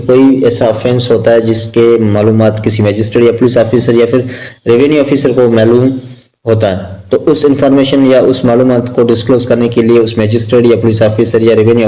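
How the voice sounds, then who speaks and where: A man with a Pakistani accent giving an informal law lecture, explaining point by point in a repetitive, halting way with English terms mixed in. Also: mid-range voice, mellow, rough recording.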